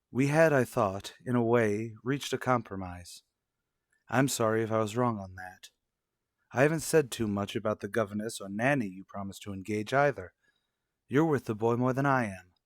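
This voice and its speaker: A man playing a stern, distrustful husband and father in an old-fashioned radio soap, speaking in a formal, measured, argumentative way. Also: lowish voice, dry recording, clean studio sound, natural voice.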